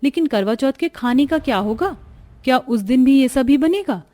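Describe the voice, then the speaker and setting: A weary, dutiful young wife living in poverty, played by a woman storyteller. Her voice is on the high side, earnest and plaintive, suited to a cartoon family tale. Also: lively intonation, moderate pace, warm tone. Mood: worried. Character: poor, dutiful, weary young daughter-in-law and mother.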